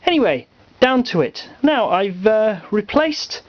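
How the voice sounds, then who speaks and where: A British man giving a casual, halting hobbyist demonstration at home, explaining things offhandedly. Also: sits high, very animated. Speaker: hobbyist presenter. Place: small cluttered room at home. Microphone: handheld camera microphone. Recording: slightly muffled lo-fi camera audio.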